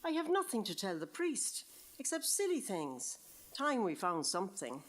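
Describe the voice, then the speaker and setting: A woman's voice: mid-range voice, wildly swooping pitch, normal speed. A woman with an Irish accent voicing eager, scheming teenage village girls in a story reading. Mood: eager, teasing. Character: eager, boy-curious fifteen-year-old Irish village girls, scheming friends.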